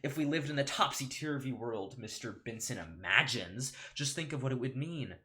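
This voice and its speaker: A man's voice: mid-range voice, lively intonation, normal speed, sharp tone, pitched above the performer's own voice. Guy putting on a pompous folksy old-timey cartoon lawyer, theatrical and full of bluster. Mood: folksy, grandiose. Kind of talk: amateur solo script reading.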